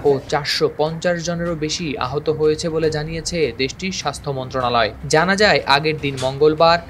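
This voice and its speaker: A man with a Bangladeshi accent reads a scripted news report in a formal, measured manner. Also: a little high, fairly bright tone, a little hiss or hum. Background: background music.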